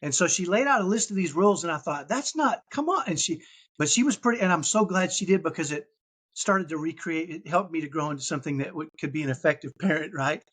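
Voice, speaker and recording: A man with a mild Texan accent, talking as a guest on a parenting podcast. His voice sits a little high for a man. He rambles and restarts, circling back with fillers as he goes. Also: expressive, fast talker, fairly bright tone, husky. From Texas. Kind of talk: casual interview chat.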